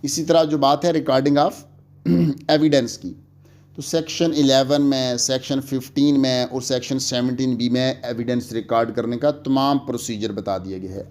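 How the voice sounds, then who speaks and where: A man delivers a recorded law lecture. His voice sits in a middle pitch, and he explains each point steadily, slipping English legal terms into his talk. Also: fairly even intonation, measured pace, darkish tone.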